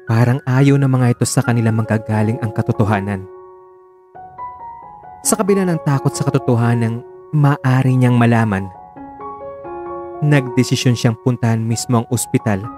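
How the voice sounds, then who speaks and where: A man with a Filipino accent reading scripted narration for a radio drama. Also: lowish voice.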